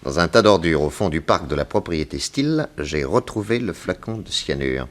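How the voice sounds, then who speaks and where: An actor playing a shrewd, methodical male detective in a 1960s French radio drama, questioning briskly and with quiet command. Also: low-pitched, lively intonation. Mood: pensive, confiding.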